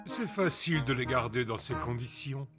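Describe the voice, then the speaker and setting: A man playing an easygoing old grandfather pig in a French children's cartoon, conversational and expressive. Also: medium pitch, moderate pace, lightly acted. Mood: satisfied. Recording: loud music behind the voice.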